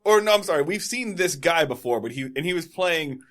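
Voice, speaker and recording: Lively man co-host, laughing easily. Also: a little high, a little fast. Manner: lively, laughing, loose rambling. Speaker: podcast co-host. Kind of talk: casual podcast chat.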